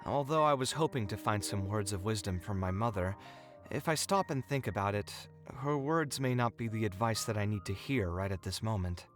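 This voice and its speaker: An earnest young architect played by a man speaks in polished anime game dialogue. He is expressive and a touch wry, with an articulate, reflective storytelling delivery. Music plays under the voice. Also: fairly low pitch, moderate pace, close to an everyday voice. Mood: reflective, hopeful. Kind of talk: anime-style video game voice acting.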